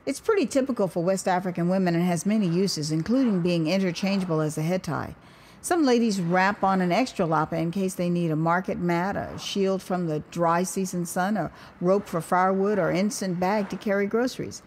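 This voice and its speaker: An American woman narrating her own memoir as an audio drama, expressive and wry, with conversational asides. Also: fairly low pitch, brisk, a little hiss or hum. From United States. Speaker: author and storyteller.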